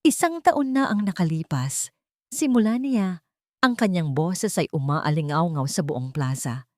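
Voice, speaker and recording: A woman's voice: on the low side, expressive, unhurried, no room sound, clean studio sound. A woman narrator reading a scripted dramatic story.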